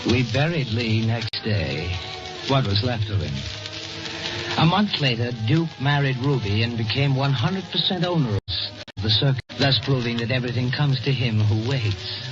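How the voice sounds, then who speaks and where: An actor playing a wry, sardonic brother who narrates a 1940s radio drama in a deliberate way. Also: male voice, medium pitch, lively intonation, over music, close to an everyday voice. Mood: wry, brooding. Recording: muffled old radio broadcast.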